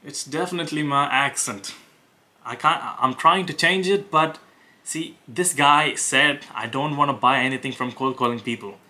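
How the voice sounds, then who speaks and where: A young man with an Indian accent, talking casually to camera and pitching on sales calls, hesitant with many fillers and restarts. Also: moderate pace, bright, forceful tone. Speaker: web design agency owner making cold calls.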